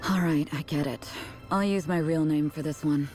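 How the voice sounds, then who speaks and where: An actress playing a cool, terse and detached secret agent in a game trailer. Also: female voice, sits low, normal speed, natural voice. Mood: cool, curt. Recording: loud music over dialogue.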